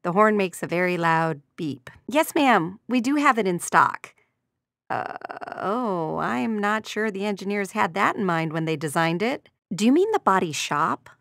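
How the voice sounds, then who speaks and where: An actress playing a courteous but dryly sardonic receptionist at a car dealership, delivering scripted video game lines. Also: female voice, mid-range voice, animated, measured pace, gravelly, a bit bright, no echo, clean studio sound. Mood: hesitant, bemused. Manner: courteous customer-service formality with sardonic undertone. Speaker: secretary answering customer calls.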